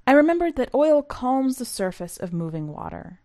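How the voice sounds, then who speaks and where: A woman's voice: high-pitched, big pitch swings, moderate pace, a little mellow, no echo, studio quality, pitched above the performer's own voice. A woman reading as an earnest balding father who recounts a perilous sea voyage in dramatic storytelling.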